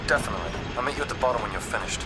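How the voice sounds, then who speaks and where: A man playing a calm, supportive mission partner in a video game cutscene, measured and matter-of-fact. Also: medium pitch, very noisy, lightly acted. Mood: calm, friendly.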